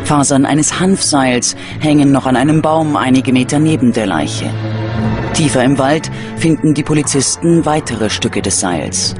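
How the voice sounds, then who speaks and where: A man delivering scripted true-crime documentary narration in a dramatic, measured, ominous manner. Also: medium pitch. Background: background music.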